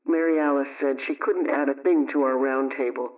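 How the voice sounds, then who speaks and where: A man reading an old household radio script aloud in the first person, in an even, unhurried way. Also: medium pitch, far from the mic. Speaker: reader. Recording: dull lo-fi sound, away from the mic.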